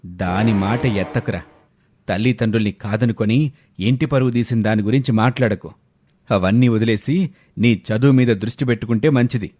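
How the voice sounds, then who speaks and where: Man putting on a gruff, deep village father. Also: normal speed, a little mellow, no echo, studio quality, lightly acted. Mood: angry, stern. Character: Brahmaiah, stern, traditional middle-aged village farmer father. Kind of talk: scripted audio story dialogue.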